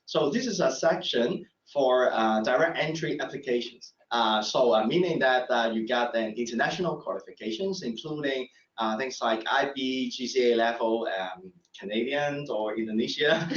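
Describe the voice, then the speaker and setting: Halting professor, roomy distant sound. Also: male voice, medium pitch, a bit bright. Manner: halting, many fillers and restarts. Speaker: professor presenting admissions talk. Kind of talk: live webinar presentation. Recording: echoey room, distant mic, dull sound.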